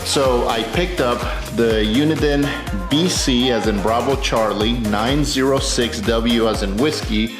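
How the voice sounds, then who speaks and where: Guy doing a chatty car install video indoors, roomy echo, music underneath, mid pitch voice. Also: unhurried, hardly any pauses. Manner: conversational, explanatory. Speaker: video host demonstrating car accessory installation. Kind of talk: casual unscripted product unboxing and how-to talk. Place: tight indoor space, roomy sound.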